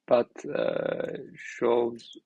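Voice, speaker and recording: A young man from Turkey with a Turkish accent, thinking aloud in halting, filler-heavy speech while he reviews an app on screen. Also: medium pitch, little pitch movement, gravelly, warm tone, slightly rough, dry recording, muffled recording. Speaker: indie maker reviewing an app. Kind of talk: casual screen-share commentary.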